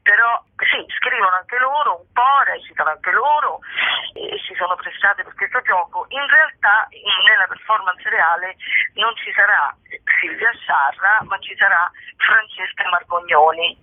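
Heard through a thin, narrowband telephone connection, a woman talks informally as a guest being interviewed. Her pitch is middling for a woman. She speaks hesitantly, restarting phrases as she looks for the right word. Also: moderate pace, no echo. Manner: hesitant, searching for words, repeating phrase starts. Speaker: guest, actress and co-author. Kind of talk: casual interview chat. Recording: tinny narrowband telephone line.

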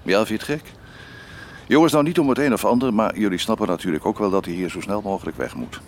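A man's voice: lowish voice, lively intonation, quick pace, a little hiss or hum. An actor playing a firm but kindly middle-aged father in a Dutch radio play, reasoning with his children. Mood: exasperated. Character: firm but kindly father, former police-dog owner.

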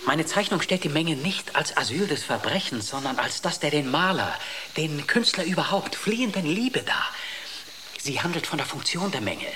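A man's voice: fairly high pitch, very animated, normal speed. Fervent, declaiming male artist. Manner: declamatory, intense. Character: fervent male artist lecturing on his drawing. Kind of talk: German radio drama acting. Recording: clearly noisy ambience behind the voice.

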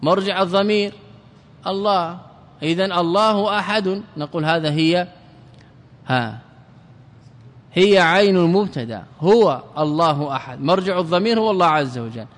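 A man teaching an Arabic grammar lesson as a religious scholar. He questions his students, answers himself, and chants verse lines slowly. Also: high voice, big-room sound.

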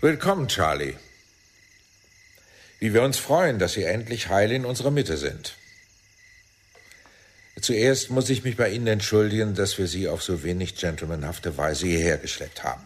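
An actor playing a grandiloquent, commanding spymaster with a husky voice and formal, courteous manner in a radio drama. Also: male voice, on the low side, animated. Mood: courteous, welcoming. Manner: formal, measured politeness.

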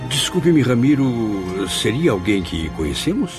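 A man's voice: mid-range voice, very animated, unhurried, over music. An actor playing an earnest, sorrowful male spirit who solemnly tells his story in a radio soap, with a Brazilian accent. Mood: compassionate. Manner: solemn, formal. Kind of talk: dramatic scripted narration in radio soap.

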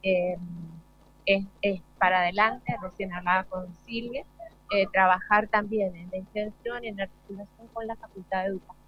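A woman's voice: mid-range voice, steady tone, moderate pace. An Argentine woman speaking as an academic guest in an unscripted interview. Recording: slightly muffled live radio sound.

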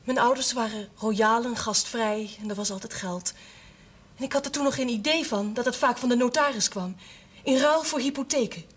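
A woman's voice: on the high side, moderate pace, husky. An actress playing an earnest young former novice burdened with her family's business, in a television period drama. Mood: earnest, confiding.

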